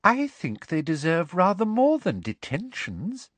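A smug, sickly sweet woman in authority from a storybook, performed by a man. The voice sits high, simpering and prim, with a lilting range and a dry, close sound. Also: huge pitch swings, a little slow, fairly bright tone, no echo, heavily acted. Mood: falsely sweet. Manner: sugary, simpering, prim throat-clearing. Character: poisonously sweet, smug simpering female school official.

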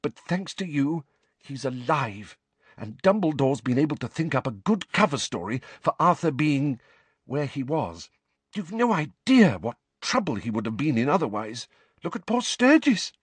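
A man voicing a warm, emotional middle-aged mother, lifting his voice high and gushing. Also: sing-song, normal speed, slightly rough, no echo. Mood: tearful grateful.

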